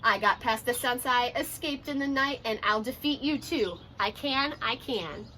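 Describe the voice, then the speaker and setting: Storybook cookie ninja, read by a woman: cocky, taunting, sing-song, high and bright. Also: normal speed, a little hiss or hum. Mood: boastful, taunting. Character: boastful, teasing little gingerbread ninja cookie man. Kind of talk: children's storybook read-aloud.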